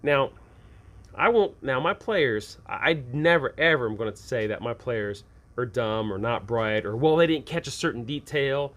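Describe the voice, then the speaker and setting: A man's voice: mid-range voice, animated, moderate pace, fairly bright tone. A man hosting an informal advice video for game masters, talking conversationally and emphatically and often repeating his points. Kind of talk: informal advice talk to viewers about running tabletop games.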